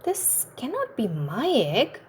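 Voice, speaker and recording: A fussy mother duck from a children's storybook, acted out by a woman. She speaks slowly and deliberately. Her lines are exclamatory, those of a parent minding her brood. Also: a little high, huge pitch swings, slightly rough, pitched above the performer's own voice, exaggerated voice. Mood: surprised dismay.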